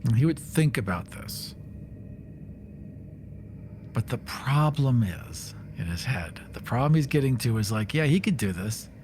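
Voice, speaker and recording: A man's voice: medium pitch, darkish tone, a little hiss or hum. A man playing a tabletop game, casually narrating what his character thinks and does.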